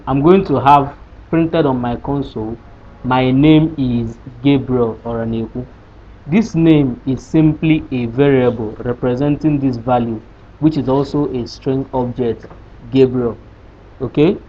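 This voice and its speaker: A man explains code step by step in a screen-recorded tutorial, stopping to ask rhetorical questions before he answers them. His voice sits at an average pitch. The recording sounds dull and lo-fi, with a faint hiss underneath. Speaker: programming tutor. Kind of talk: screen-recorded coding lesson.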